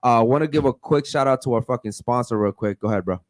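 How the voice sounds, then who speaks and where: A man's voice: medium pitch, fast talker, no echo, clean studio sound. Profane man hosting a podcast. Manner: loose and profane, hyped. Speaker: podcast host. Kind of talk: casual podcast chat.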